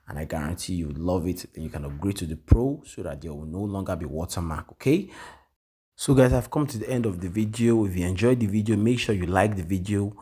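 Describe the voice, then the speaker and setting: A man giving a software tutorial, often checking "okay?" and filling pauses with "um". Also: low-pitched, husky. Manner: repetitive, says "okay?" often, many "um"s. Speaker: tutorial host. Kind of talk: screen-recorded software walkthrough.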